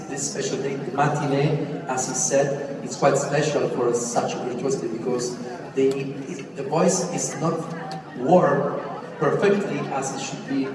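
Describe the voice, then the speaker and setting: Halting male conductor in an echoing hall. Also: mid-range voice. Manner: halting, searching for words. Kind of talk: onstage discussion. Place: large opera house stage. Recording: echoey hall from far off, audience noise.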